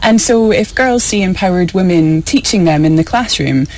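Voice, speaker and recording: A woman speaking as an interview guest on education, steady and measured. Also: on the low side. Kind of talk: radio interview.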